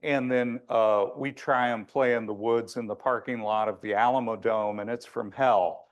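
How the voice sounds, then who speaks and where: A man with a big voice and a medium pitch presents to an audience in a conversational lecture style. His delivery is informal and a little wry, with frequent hesitations as he explains things. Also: steady tone, normal speed, lo-fi sound. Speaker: landscape architect giving a presentation.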